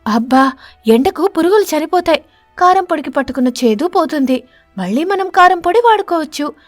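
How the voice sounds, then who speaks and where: A woman voicing a stingy, crafty wife sparrow in an animated story, with a theatrical, scolding, self-satisfied manner. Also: high-pitched, fairly quick, no echo, soft background music, pitched above the performer's own voice. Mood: bossy, insistent.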